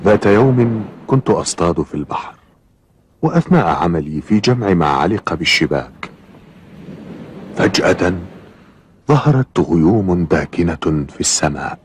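A man playing a kindly, patient middle-aged fisherman in a cartoon, speaking formal Arabic with measured, clear articulation. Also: deep voice, animated, slight background noise. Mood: confiding.

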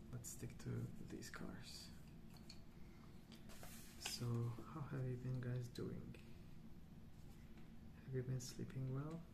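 Male ASMR host, hushed and slow, even-toned and hesitant, with audible background noise. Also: mid-range voice, vocal fry. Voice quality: soft, hushed, at times near whisper. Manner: unhurried, hesitant, musing. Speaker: host of a colouring ASMR channel. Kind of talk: soft-spoken ASMR rambling while colouring. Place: indoors at a wooden table.

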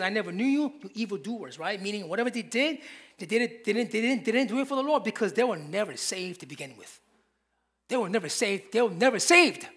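A man's voice: very high-pitched, pushed and bright. A man, preaching a sermon from a church pulpit with emphatic, repeated phrases. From Korea. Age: 50s. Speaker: pastor.

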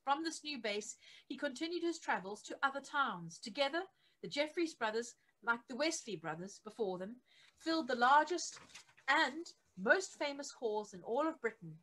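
Woman, middle-aged, slightly high pitch, scripted book narration, measured delivery, stressed key phrases. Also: expressive, fairly bright tone, poor audio. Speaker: reader of a church history book. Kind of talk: scripted narration, reading aloud from a biography. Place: attic home office, indoors.

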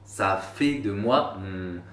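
Young dude rambling slangy to the camera, lowish voice, echoey room, a bit far from the mic. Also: male voice, takes it slowly, a bit bright. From France. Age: 20s. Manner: slangy, rambling, self-mocking asides. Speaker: YouTuber. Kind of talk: casual vlog talk to camera. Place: small room indoors. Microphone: camera a few feet away. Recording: roomy, slightly distant sound.

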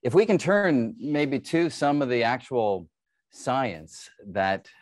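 A man's voice: mid-range voice, animated, takes it slowly, no room sound, clean studio sound. A middle-aged man hosting an interview over a video call, asking long questions and giving brief responses. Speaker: interview host. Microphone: video call webcam.

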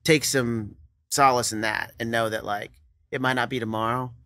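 Bright-voiced young man, easygoing guest. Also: mid-range voice, fairly even intonation, normal speed, no echo, clean studio sound. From Kansas. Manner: easygoing, laughs often, trails off with "you know". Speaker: songwriter and producer guest. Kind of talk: casual interview chat. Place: studio set with brick wall and couch. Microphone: studio mic on boom arm.

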